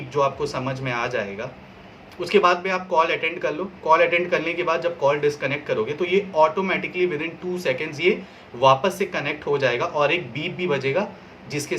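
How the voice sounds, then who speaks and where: Guy doing a casual how-to review, bright punchy voice, bit of music behind, mixes in english. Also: on the high side. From India. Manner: explanatory, step-by-step, mixes English phrases in. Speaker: product reviewer.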